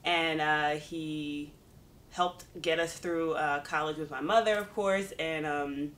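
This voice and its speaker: A woman in her thirties from Pennsylvania, talking relaxed in an interview and cracking jokes. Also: low voice, slow, a little hiss or hum. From Pennsylvania, USA. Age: 30s. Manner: relaxed, joking, some hesitations. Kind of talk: casual interview.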